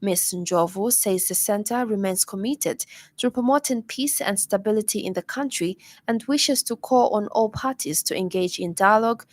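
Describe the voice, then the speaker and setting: A woman's voice: mid-range voice, normal speed. A woman with a Zambian accent reading a scripted radio news bulletin in a formal manner. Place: radio studio.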